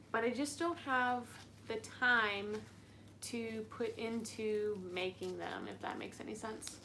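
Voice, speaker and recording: Young woman rambling on a live stream, giggly and chatty, kinda high voice, faint hum behind. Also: bright, forceful tone. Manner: bubbly, giggly, rambling, with lots of "like". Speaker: plant channel host. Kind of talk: casual live stream chat.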